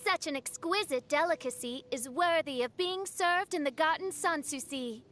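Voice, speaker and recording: Casting: a grandiose young game princess, extremely high pitch, pompous and melodramatic delivery. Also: female voice, normal speed, a bit bright, a little hiss or hum. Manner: pompous, archaic, melodramatic diction. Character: theatrical young self-styled princess, haughty and grandiose. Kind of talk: anime game character voice lines.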